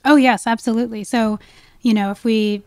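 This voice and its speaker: A woman's voice: on the high side, fairly even intonation, normal speed, darkish tone, dry recording, studio quality. A woman speaking as a guest expert in a conversational interview, explaining with enthusiasm. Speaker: guest expert, wildlife ecologist.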